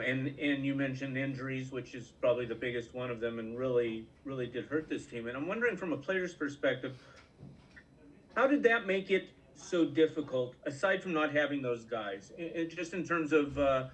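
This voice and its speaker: A man's voice: mid-range voice, normal speed, low-quality recording. A man, a sports reporter, asking a long, rambling question at a press conference with several restarts.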